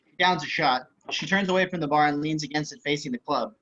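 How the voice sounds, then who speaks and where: A man's voice: on the high side, quick pace, bright, forceful tone. A man narrating stage directions for a screenplay table read over a video call. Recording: slightly dull video-call audio.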